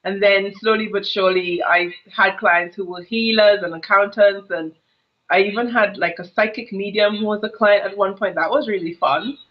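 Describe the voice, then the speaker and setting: A woman's voice: mid-range voice, fairly even intonation, normal speed. Woman, Trinidadian accent, thirties, storytelling, laughs often, muffled video call sound. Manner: chatty, laughs often, tells stories. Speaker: business coach guest. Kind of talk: casual interview chat. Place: home office with brick wall. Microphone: earphone headset on a video call.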